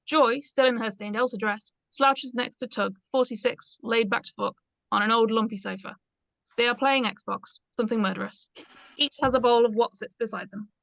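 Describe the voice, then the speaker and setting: A woman's voice: fairly high pitch, moderate pace, creaky, fairly bright tone, no room sound, low-quality recording. A woman reading stage directions aloud as scripted narration in a screenplay read-through.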